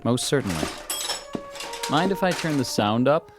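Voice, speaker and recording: An actor playing a calm, polite, cryptic television repairman in a radio drama, speaking in a measured, formal way. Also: mid-range voice, soft background music, lightly acted. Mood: knowing.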